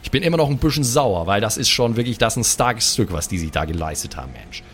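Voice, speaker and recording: A man's voice: on the low side, expressive, fast talker, soft background music. A man improvising in a role-playing game, talking casually and colloquially in character.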